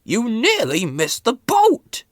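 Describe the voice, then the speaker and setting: A woman voicing a pompous male railway boss in a children's storybook, with emphatic, drawn-out exclamations. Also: on the high side, huge pitch swings, dry recording, clean studio sound, voice pushed up, full character voice. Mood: cross.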